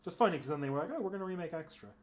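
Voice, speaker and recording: A man's voice: a little high, expressive, very fast, darkish tone. A man hosting a casual livestream, chatting with viewers in a rambling way, thinking aloud and often correcting himself. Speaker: streamer and host.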